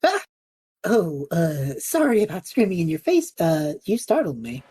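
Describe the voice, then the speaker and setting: A woman playing a cocky, cheeky young male adventurer who talks in a flippant, chatty, excitable way in a fantasy audio drama. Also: fairly low pitch, a little slow, slightly rough, heavily acted. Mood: flustered.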